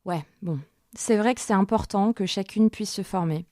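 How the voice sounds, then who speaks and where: A woman's voice: medium pitch, moderate pace, mellow, no room sound, studio quality, natural voice. A woman playing a reluctant, hands-on workshop worker in an audio drama, speaking in a hesitant, colloquial way. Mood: firm, reluctant. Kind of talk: naturalistic audio fiction dialogue.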